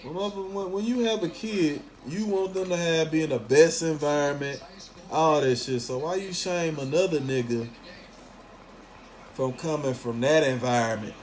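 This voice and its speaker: A man's voice: a little high, moderate pace, darkish tone. A man from Memphis with a Southern accent, giving a casual, opinionated monologue in blunt slang with plenty of swearing. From Memphis, southern United States. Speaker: talk host.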